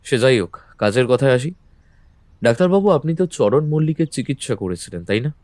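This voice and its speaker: A man playing a composed, incisive detective in a Bengali audio drama, speaking in a measured, assured, businesslike way. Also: mid-range voice, expressive, darkish tone, no echo, close to an everyday voice. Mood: calm, probing. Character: composed, incisive male Bengali detective, a self-styled truth-seeker.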